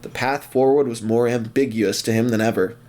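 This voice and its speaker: A man's voice: medium pitch, steady tone, fairly quick, a little mellow. A man narrating an audio drama as scripted storytelling.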